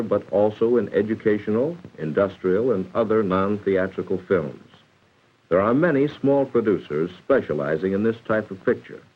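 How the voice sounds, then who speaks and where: A dated, muffled 1940s film soundtrack carries an American man narrating a vocational training film. His voice is low and lively in its rise and fall. He reads formally and instructively, shaping each word with care. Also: slightly rough.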